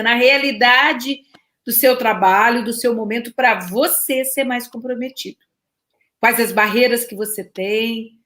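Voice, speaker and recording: A woman coach with a Brazilian Portuguese accent, posing reflective coaching questions on a live webinar. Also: a little high. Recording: roomy echo.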